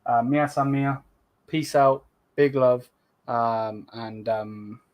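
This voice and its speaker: A laid-back man chats on a late-night livestream. His voice sits in an ordinary middle pitch, and his talk is loose and sweary as he presses viewers to subscribe. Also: steady tone, slow pace, highs cut off. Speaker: channel host.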